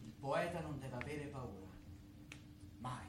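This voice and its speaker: A man's voice: medium pitch, lively intonation, a little slow, natural voice. A man acting an encouraging, didactic literary mentor in a stage comedy. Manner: approving, didactic. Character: encouraging, self-effacing male literary mentor. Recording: very noisy, echoey, distant theatre recording.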